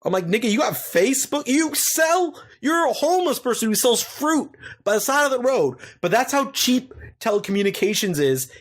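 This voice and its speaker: A man's voice: extremely high pitch, sing-song, moderate pace, hardly any pauses. A young man telling a long crude story on a casual comedy podcast, rambling and full of swearing. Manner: crude, profane, rambling anecdotes. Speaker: podcast co-host.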